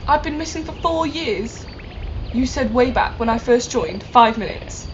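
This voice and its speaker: A woman's voice: a little high, lively intonation, a little slow. A woman playing an exasperated young time-travel companion in an amateur audio drama, indignant and scolding. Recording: muffled home recording, noticeable noise.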